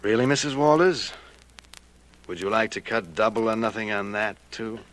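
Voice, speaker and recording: An actor playing a smooth, wary gambling-ship owner in a 1940s radio drama, speaking in a measured, sardonic way with hesitations. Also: male voice, lowish voice, close to an everyday voice. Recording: noisy 1940s radio recording.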